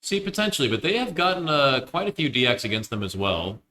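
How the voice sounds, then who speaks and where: A young man doing live game commentary, quick and joking with self-deprecating asides. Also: mid-range voice, big pitch swings, pushed and bright, a little hiss or hum. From Illinois, United States. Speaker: esports commentator.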